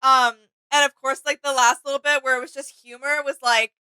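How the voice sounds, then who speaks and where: A woman's voice: sits high, little pitch movement, brisk, sharp tone, no room sound. A woman co-hosting a reaction video, talking in a casual, agreeable, informal way as she shares her thoughts. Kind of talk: casual reflective reaction chat.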